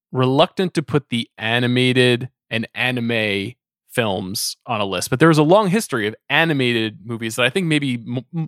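A man's voice: mid-range voice, fairly bright tone, dry recording. Male podcast host, conversational interviewer, mid-range voice, close dry recording. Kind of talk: interviewing guests in a casual discussion.

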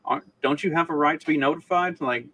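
A man chatting casually about politics as a podcast co-host, in a halting, questioning way. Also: fairly high pitch, sharp tone, breathy edge.

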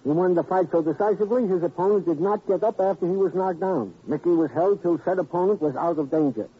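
An American actor playing a wordy, mock-formal police sidekick in a radio crime drama, with stilted, ornate phrasing. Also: male voice, high voice, fast, husky. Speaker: supporting actor. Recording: tinny, narrow old radio recording.

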